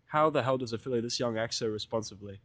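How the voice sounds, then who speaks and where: A man with an average-pitched voice narrates a first-person tale. His reading is casual and sardonic, like a hobbyist audiobook. The recording is dry, with little room sound. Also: quick pace, a bit bright, no echo.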